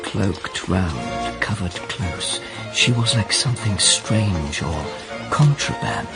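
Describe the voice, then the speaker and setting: A man playing a grave, mournful onlooker who recites verse in a radio drama, with a rough, husky voice. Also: deep voice, lively intonation, takes it slowly, over loud music, heavily acted. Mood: watchful, grave.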